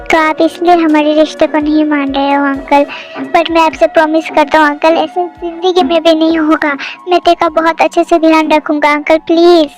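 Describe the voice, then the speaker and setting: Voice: sits high, level tone, moderate pace, faint music behind, natural voice. A young performer voicing an earnest, lovesick young soldier in an amateur voice drama. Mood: pleading. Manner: pleading, reassuring. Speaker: voice actor in a fan drama.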